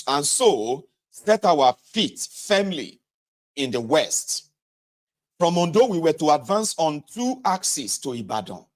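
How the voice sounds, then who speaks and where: A man with a Nigerian accent reading a book aloud and adding conversational asides, speaking directly to listeners and sometimes correcting himself. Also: medium pitch. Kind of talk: read-aloud narration with casual asides.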